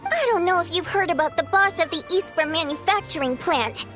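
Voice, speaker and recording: A woman playing a proud, easily offended theater singer in scripted video game dialogue, with an expressive, theatrical, pointed delivery. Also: very high voice, brisk, faint music behind. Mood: indignant.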